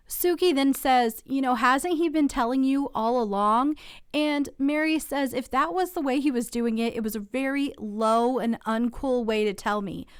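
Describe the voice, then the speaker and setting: A woman's voice: fairly high pitch, clean tone, no room sound, studio quality. A woman hosting a casual TV recap channel who is getting over a cold, talking in a chatty, opinionated way and asking viewers to weigh in.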